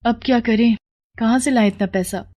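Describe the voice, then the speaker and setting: A woman's voice: fairly high pitch, steady tone, normal speed, dark tone, pitched above the performer's own voice, natural voice. A woman voicing a poor, worried and dutiful wife in a moral story, plaintive and deferential. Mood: worried, pleading. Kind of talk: Hindi moral story dialogue.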